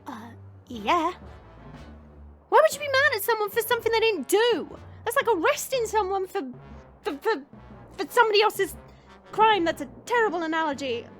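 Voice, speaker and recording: A woman's voice: very high voice, sing-song, normal speed, pauses often, faint music behind. A woman acting a brash, sarcastic young demonic woman in an audio drama, theatrical and mocking. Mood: indignant. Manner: sarcastic, theatrical, exclaiming and drawling.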